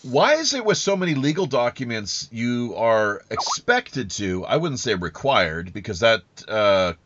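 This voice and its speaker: A man hosting a podcast in casual interview chat, with halting, wordy phrasing full of asides. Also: medium pitch, sing-song, noisy background. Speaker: podcast host. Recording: dull, lo-fi sound.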